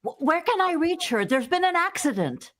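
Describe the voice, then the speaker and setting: A woman acts a hospital operator in an old-time radio play. Her high voice moves in lively swoops while staying official and matter-of-fact. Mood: businesslike. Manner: brisk, official. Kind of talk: vintage radio drama acting.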